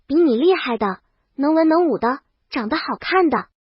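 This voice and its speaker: A woman voicing a bold, bossy young princess in an audiobook, brisk, blunt and self-assured. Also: sits high, expressive, no room sound. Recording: dull, lo-fi dry voice track.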